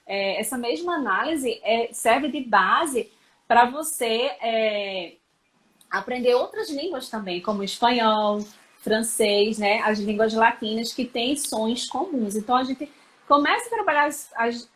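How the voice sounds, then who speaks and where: Brazilian woman, teacher type, higher pitch, explaining in a live chat. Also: unhurried, a bit bright, low-quality recording. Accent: Brazilian Portuguese. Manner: explanatory, many fillers like "né" and "então". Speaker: school educator. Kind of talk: live-stream conversation.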